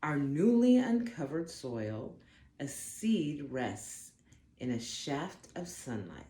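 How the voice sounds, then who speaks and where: A woman reading a children's picture book aloud in an expressive, deliberate way, phrasing carefully for young listeners. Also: sits low. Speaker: storybook reader for children. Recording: roomy echo, faint background noise.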